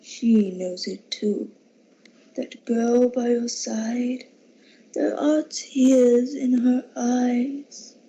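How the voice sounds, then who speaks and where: Very slow, solemn, husky spirit, acted by a woman. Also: a little high, fairly even intonation, dark tone, full character voice. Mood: solemn, reproachful. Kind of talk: amateur radio drama acting. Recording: dull lo-fi amateur recording.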